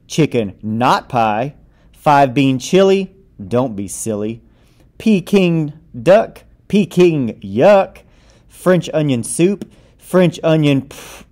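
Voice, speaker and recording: A man's voice: fairly high pitch, expressive, slow, a little mellow. Man as a teasing storybook mama, sing-song. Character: picky-food-teasing mother in a picture book.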